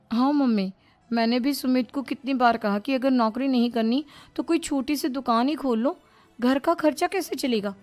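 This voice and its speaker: A young married daughter in a cartoon story, put on by a woman narrator. Her high voice is animated and whiny as she grumbles about a lazy husband. Soft music runs behind her. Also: natural voice.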